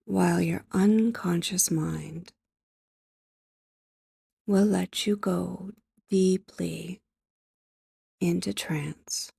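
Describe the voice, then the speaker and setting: A woman leading guided sleep hypnosis in a soft, breathy, near-whispered voice, gentle and measured, with long pauses, close on the microphone. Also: lowish voice, fairly even intonation, no room sound.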